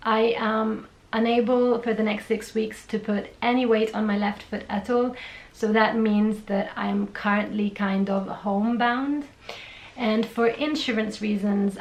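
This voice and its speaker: A young woman from Italy with an Italian accent, chatting through a Q&A on her own channel. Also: medium pitch. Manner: bright and chatty, reads out questions then answers at length. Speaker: vlogger. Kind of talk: casual Q&A vlog. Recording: echoey room.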